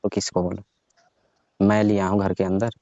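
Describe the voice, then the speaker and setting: A man's voice: low-pitched, level tone, moderate pace, mellow, no echo. A young man playing a sulky, jealous lover, curt and insistent, in a staged comic phone call. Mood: indignant. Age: young adult. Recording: dull, lo-fi phone call recording.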